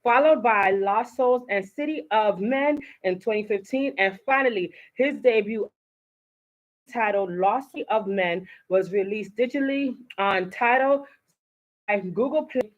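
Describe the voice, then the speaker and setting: A woman with a Haitian American accent hosting a casual studio interview, chatty and prone to correcting herself mid-sentence. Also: measured pace. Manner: chatty, stumbles over words and corrects herself. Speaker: show host. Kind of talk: casual interview, reading a guest bio. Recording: muffled low-fidelity studio sound.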